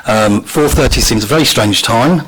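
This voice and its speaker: Croaky-voiced businessman making his case. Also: mid-range voice, normal speed, fairly bright tone. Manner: polite, businesslike. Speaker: local business owner addressing council. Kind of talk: public comment. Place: council meeting room.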